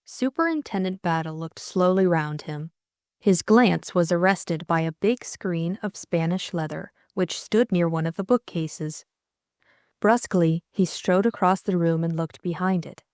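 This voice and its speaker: A woman's voice: on the low side, a little slow, a little mellow, dry recording, clean studio sound. A woman reading a story aloud as an audiobook narrator.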